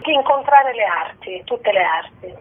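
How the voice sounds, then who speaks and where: A woman with a husky voice speaking informally as a theatre guest in a radio interview over a phone line. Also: fairly high pitch, animated, a little slow. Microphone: telephone. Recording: narrow tinny phone line.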